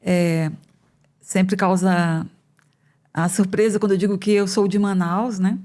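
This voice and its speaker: A woman in her fifties from northern Brazil, an economics professor answering interview questions in a thoughtful, measured way with frequent pauses and hesitations. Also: medium pitch, steady tone, mellow. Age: 50s. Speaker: economics professor, podcast guest. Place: podcast studio.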